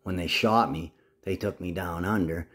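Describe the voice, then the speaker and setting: Man, very deep voice, rambling, agitated, swearing, casual monologue to camera. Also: normal speed, fairly bright tone.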